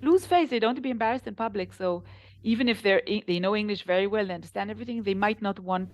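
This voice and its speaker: Israeli woman, business founder guest, animated storyteller, mid pitch. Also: fast talker, no room sound. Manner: animated storytelling, laughs while talking. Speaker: translation company founder, interview guest. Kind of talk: casual interview conversation.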